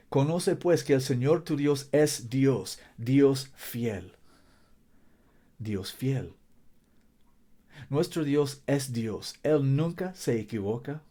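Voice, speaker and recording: Slow, mellow-voiced man preaching. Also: mid-range voice. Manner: slow, deliberate, emphatic preaching; sometimes stumbles in Spanish. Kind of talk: sermon with Bible reading.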